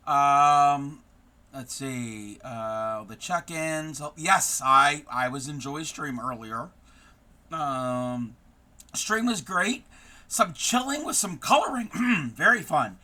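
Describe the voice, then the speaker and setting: A hoarse man who is losing his voice, hosting a casual live stream and chatting warmly with viewers by name, with many ums. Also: a little high, animated, slow pace. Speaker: craft live-streamer host.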